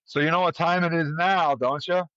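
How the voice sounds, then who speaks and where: A man's voice: on the high side, steady tone, brisk, a bit bright. A man hosting a casual trivia chat, talking conversationally to his panel over a slightly dull microphone. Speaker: podcast host running a trivia quiz.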